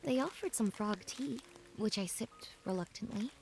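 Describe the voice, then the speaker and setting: A woman playing a reflective young woman who narrates her journey in a measured, wistful storybook style. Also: fairly high pitch, vocal fry, background noise. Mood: wistful, gentle. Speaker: narrator and protagonist. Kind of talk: scripted first-person storybook narration.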